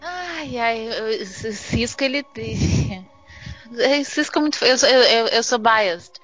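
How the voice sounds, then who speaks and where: Fairly high-voiced Brazilian woman chatting. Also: expressive, slow pace, a bit bright, dull audio, soft background music. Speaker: podcast panelist. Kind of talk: casual chat.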